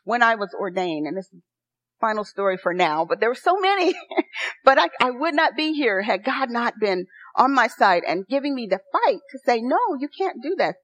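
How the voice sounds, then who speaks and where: A soft-spoken woman pastor preaching a sermon, earnest and rising to excited encouragement. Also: fairly high pitch, normal speed, dry recording. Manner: earnest, building to excited exhortation. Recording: dry, dull lo-fi sound.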